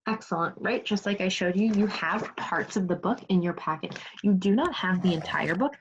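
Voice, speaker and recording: A woman's voice: medium pitch, normal speed, slight background noise. A woman teaching second grade in an interactive remote read-aloud, giving instructions, asking questions and praising pupils. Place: remote video class. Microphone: video call. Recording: dull lo-fi video call audio.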